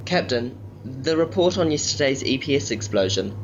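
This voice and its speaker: Dull lo-fi sound, clear background noise, male starship engineer played by an actor, formal, technical, sci-fi audio drama. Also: medium pitch, expressive, moderate pace, close to an everyday voice. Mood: businesslike. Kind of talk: science fiction audio drama acting. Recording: dull, noisy lo-fi recording.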